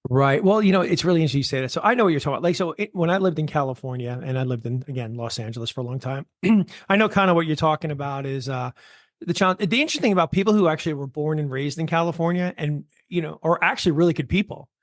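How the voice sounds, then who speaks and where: Man, podcast host, from New York, rapid rambling speech, lots of fillers, dry recording. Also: on the high side, no room sound. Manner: rambling, heavy on fillers and restarts. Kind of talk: casual interview chat.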